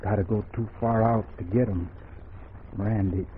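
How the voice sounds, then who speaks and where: Casting: man playing devoted frontier hunter, lowish voice, period radio acting, thin phone-like broadcast sound. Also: steady tone, moderate pace, lightly acted. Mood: earnest, relieved. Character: earnest frontier buffalo hunter, devoted suitor. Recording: tinny, noisy old radio broadcast.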